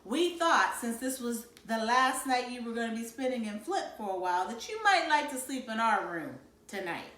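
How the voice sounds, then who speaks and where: A woman's voice: on the high side, very animated, moderate pace, hardly any pauses, a bit bright, reverberant. A woman voicing a patient, reassuring mother talking to her children in a storybook. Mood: organizing.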